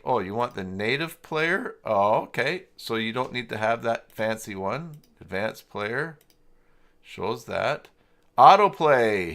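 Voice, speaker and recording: A man hosting a casual live software tutorial, thinking aloud in an informal, unscripted way as he works through the steps. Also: medium pitch. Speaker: livestream host and software tutor.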